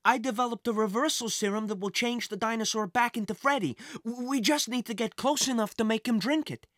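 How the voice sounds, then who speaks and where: A narrator voicing an excitable, proud young boy inventor in a children's storybook. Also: medium pitch, fairly quick, no room sound, studio quality, pitched above the performer's own voice, natural voice. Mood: sheepish.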